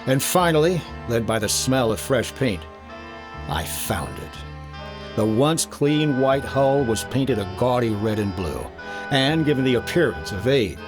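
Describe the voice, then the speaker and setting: A man acting a lively, wry radio detective. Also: mid-range voice, measured pace, over music. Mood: tense narration. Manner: clipped, dry, dramatic. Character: cool, wry, tough male investigator and narrator.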